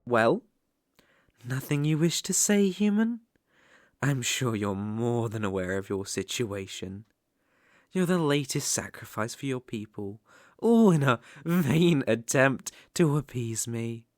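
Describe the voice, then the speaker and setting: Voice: mid-range voice, animated, slow pace, a little mellow, no room sound, studio quality. A British-accented performer playing a sadistic, haughty demon in an ASMR roleplay, with a mocking, condescending, theatrical delivery. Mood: disdainful, curious. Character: sadistic, haughty demon lord sneering at human sacrifices.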